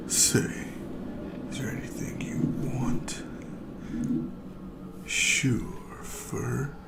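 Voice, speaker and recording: A cruel, ageless monster , acted out by a performer, whispers tauntingly to its human toy in an audio roleplay. The breathy voice has a gravelly creak and savors each threat with theatrical, playful menace. It sounds roomy and set back from the microphone. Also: medium pitch, recorded from a distance. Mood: cruel amusement.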